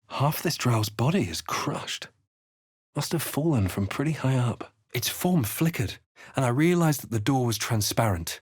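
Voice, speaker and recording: A composed, wry male adventurer from a fantasy video game, heard close and dry. His voice sits at a middling male pitch. He speaks in measured, literary phrases with a theatrical weight. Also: a little mellow, dry recording. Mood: wry, alert. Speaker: video game player-character voice actor. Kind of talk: dramatic fantasy game voice lines and narration.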